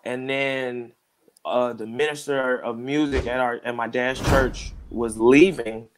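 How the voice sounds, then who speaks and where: A relaxed man in his thirties, speaking as a music producer being interviewed. He talks in a loose, rambling way, often circling back to check in with the listener. His voice sits at an ordinary pitch. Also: unhurried, noisy background. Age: 30s. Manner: laid-back, rambling, keeps saying "you know what I'm saying". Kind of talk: casual interview talk.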